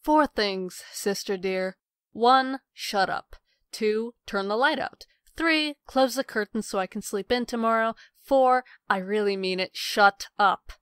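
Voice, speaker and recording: A woman's voice: fairly high pitch, measured pace, a bit bright, clear, resonant tone, no echo, clean studio sound. A woman playing a brash, sarcastic, rebellious sister with a flippant, snide manner in a comic dub.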